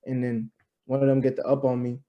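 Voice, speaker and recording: A man's voice: medium pitch, level tone, fairly quick, dull audio. A man in his early twenties, a musician guest on a podcast, talking in a loose, slangy way and often adding "you know what I'm saying.". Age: 20s. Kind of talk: casual interview chat.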